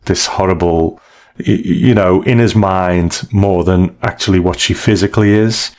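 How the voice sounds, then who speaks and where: Low-voiced man in casual talk. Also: steady tone, normal speed, darkish tone. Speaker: podcast co-host. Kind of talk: casual conversational story recap.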